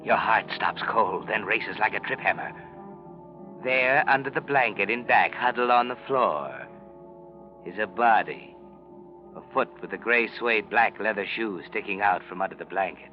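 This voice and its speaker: A man performs a menacing, insinuating narrator of a 1940s radio suspense play. His voice is fairly low and dramatic, goading the listener. Music plays clearly behind him on a dull, aged recording. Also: moderate pace, lightly acted. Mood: ominous, suspenseful. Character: ominous, taunting narrator addressing the guilty man. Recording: muffled old radio recording.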